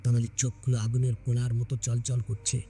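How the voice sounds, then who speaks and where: A man's voice: mid-range voice, monotone, brisk, warm tone, slightly rough, faint music behind. A man narrating a scripted horror story in a dramatic storytelling style.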